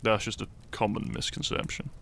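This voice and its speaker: A man playing an earnest, shy young hero in a roleplay audio drama, speaking to the listener in a halting, theatrical way. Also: on the low side, gravelly, fairly bright tone, slight background noise. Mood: flustered, earnest. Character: shy young hero, earnest, secretly fond of his foe.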